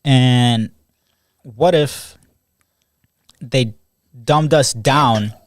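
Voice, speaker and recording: A man's voice: medium pitch, very slow, dry recording, clean studio sound. A teenage man hosting a casual podcast chat with friends, rambling, laughing often and swearing freely. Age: teens. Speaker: podcast host. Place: small room with friends.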